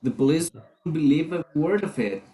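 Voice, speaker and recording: A man's voice: fairly high pitch, expressive, normal speed, dark tone, lightly acted. A man performing in a readers theater as a guarded husband, skeptical and questioning about a plan to save his wife. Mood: skeptical, resisting. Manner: doubtful, questioning.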